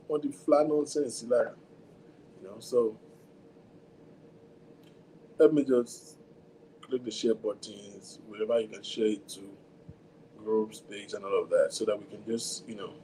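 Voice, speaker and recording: A man's voice: on the low side, dark tone, a little hiss or hum, poor audio. A man with a Nigerian accent hosting a casual livestream, rambling and talking straight to his viewers, with what he calls a big voice. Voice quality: self-described big voice. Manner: rambling, code-switching, chatty, talks to viewers directly. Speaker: livestream host.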